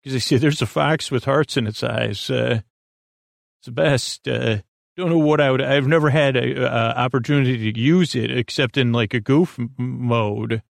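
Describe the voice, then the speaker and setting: A man hosting a sleep podcast, talking in a meandering, halting way with many fillers and restarts. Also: mid-range voice, vocal fry, dry recording, clean studio sound. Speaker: podcast host. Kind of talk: rambling sleep podcast intro.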